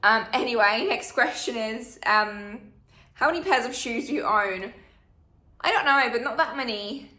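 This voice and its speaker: A woman's voice: fairly high pitch, measured pace, sharp tone, reverberant. A woman, chatting through a Q&A for her channel with plenty of fillers. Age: 20s. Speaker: vlogger. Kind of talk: casual Q&A chat.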